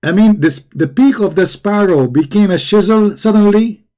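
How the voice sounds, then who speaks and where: A hoarse man who is losing his voice, giving a sarcastic, scornful commentary. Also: high voice, moderate pace, dark tone. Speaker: religious critic. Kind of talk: mocking video commentary.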